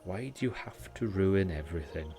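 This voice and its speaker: A man's voice: low-pitched, lively intonation, normal speed, over music. A Welsh man voicing a small, reproachful female monkey in a bedtime storybook, exasperated and scolding. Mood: upset scolding. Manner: sighing, reproachful.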